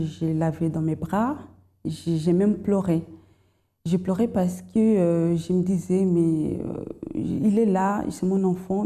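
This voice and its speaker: A young woman with a slight foreign accent and another first language, giving a hesitant personal testimony full of pauses and restarts. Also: on the low side, dark tone. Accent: slight foreign accent in French. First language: not French. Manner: hesitant, many fillers and restarts. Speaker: testimony guest.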